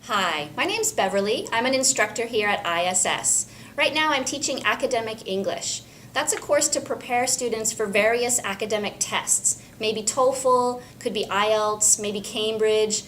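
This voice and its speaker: A woman English instructor clearly explaining a practical tip on speaking tests. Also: on the high side, normal speed, few pauses, fairly bright tone, slight background noise. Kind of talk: teaching tip for a school video.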